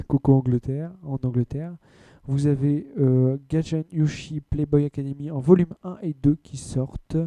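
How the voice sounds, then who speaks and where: Very mellow-voiced man hosting a podcast. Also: on the high side, steady tone, normal speed, no echo. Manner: blunt, frank, loose with filler words. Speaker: podcast host. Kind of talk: casual news rundown and rant.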